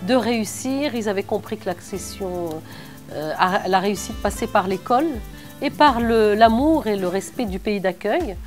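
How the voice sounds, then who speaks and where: A middle-aged woman from Morocco who is an artist, speaking thoughtfully in an interview with frequent hesitant pauses. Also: medium pitch, soft background music. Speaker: artist and cultural organizer. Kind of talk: reflective interview.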